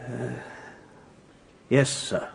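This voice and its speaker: An actor playing a deferential British butler in a radio drama, answering in a halting, respectful way. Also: male voice, medium pitch, fairly even intonation, vocal fry, husky, exaggerated voice. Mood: hesitant, respectful. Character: deferential, hesitant male butler giving evidence.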